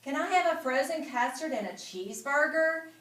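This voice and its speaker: A woman voicing excited young children eagerly pleading for treats in a storybook reading. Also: sits high, normal speed, pitched above the performer's own voice. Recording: echoey room, distant mic.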